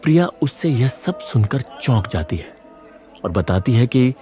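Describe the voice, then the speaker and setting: A man's voice: medium pitch, sing-song, unhurried, warm tone, soft background music. A man reading scripted narration for a moral story as its narrator.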